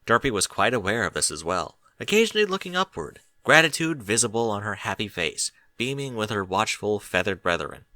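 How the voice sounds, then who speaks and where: A man reading a story aloud as an expressive audiobook narrator. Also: on the low side, a little fast, few pauses, no echo.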